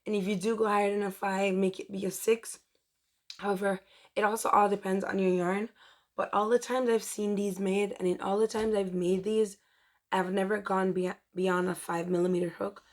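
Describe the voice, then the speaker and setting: A young woman hosting a chatty crochet tutorial, her voice mostly gone and rough from a dry throat, rambling and correcting herself as she goes. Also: medium pitch, level tone, normal speed, darkish tone. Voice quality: strained, rough. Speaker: crochet tutorial host and shop owner.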